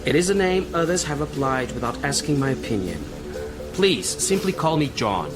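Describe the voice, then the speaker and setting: An actor playing an earnest, sensitive outsider in a radio drama, troubled, proud and plain-spoken. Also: male voice, a little high, big pitch swings, normal speed. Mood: proud, firm. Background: music.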